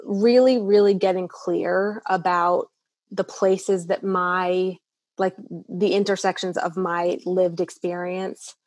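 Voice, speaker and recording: Brief: woman, lowish voice, earnest clinician guest, hesitant restarts, dry close sound. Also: steady tone, takes it slowly, darkish tone, no room sound. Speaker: eating disorder clinician, guest. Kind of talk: podcast interview answers.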